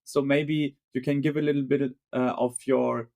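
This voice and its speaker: Halting podcast host, many fillers. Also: male voice, mid-range voice, flat delivery, creaky, a little mellow. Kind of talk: casual interview chat.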